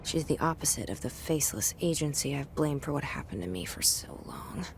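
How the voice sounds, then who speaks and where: A woman's voice: sits low, expressive, brisk, slight background noise. An actress playing a guarded, wry young woman in a video game, confiding her private thoughts in an introspective monologue. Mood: cautious warmth. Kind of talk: video game internal narration.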